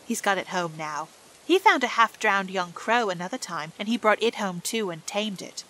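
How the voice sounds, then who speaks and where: A woman's voice: medium pitch, expressive, normal speed, sharp tone, slight background noise, low-quality recording. A woman doing a chatty housemaid. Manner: homely and talkative. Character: chatty, kindly young Yorkshire housemaid.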